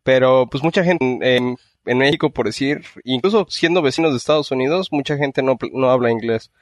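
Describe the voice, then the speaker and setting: A man's voice: mid-range voice, fairly quick, no room sound. Easygoing Mexican man co-hosting. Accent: Mexican Spanish. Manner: easygoing, filler-heavy. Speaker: podcast co-host. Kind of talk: casual podcast chat.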